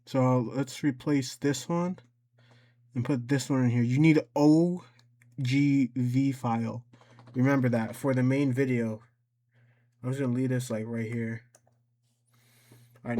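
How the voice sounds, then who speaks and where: A man presenting an informal step-by-step software tutorial, rambling a little and thinking out loud as he goes. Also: medium pitch, fairly even intonation, measured pace, long pauses. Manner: informal, rambling, thinks aloud and talks to himself. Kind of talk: casual screen-recorded software tutorial.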